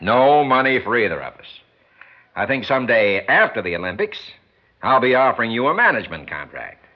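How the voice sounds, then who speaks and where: Swooping, slangy sports promoter. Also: male voice, medium pitch, big pitch swings, brisk. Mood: amused, eager. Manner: slangy, persistent salesman's patter. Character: paunchy, cigar-smoking male sports promoter, fast-talking and persuasive. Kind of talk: 1960s radio drama acting. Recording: muffled, slightly noisy old radio recording.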